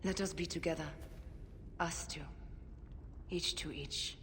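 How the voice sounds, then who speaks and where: An actress playing a vengeful, loving woman avenger of ancient Egypt in a video game cutscene, speaking with intimate, deliberate intensity. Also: on the low side, fairly even intonation, fairly bright tone. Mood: tender, sorrowful. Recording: long echoing reverb, clear background noise.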